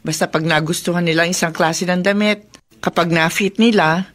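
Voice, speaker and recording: A woman acting a caring, knowing middle-aged widowed mother in a Filipino radio drama, gently teasing and motherly. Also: lowish voice, steady tone, fairly quick, lightly acted. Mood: chatty.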